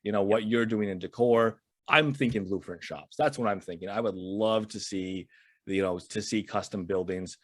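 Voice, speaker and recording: A man's voice: on the low side, moderate pace, no echo, studio quality. A man talking as a guest on a podcast, in casual and emphatic chat that often repeats words as he starts.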